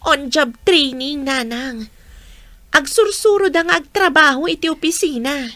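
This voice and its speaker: An earnest young student daughter with an Ilocano accent. She speaks high and bright in a close, dry radio drama recording. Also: measured pace, no echo. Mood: explaining.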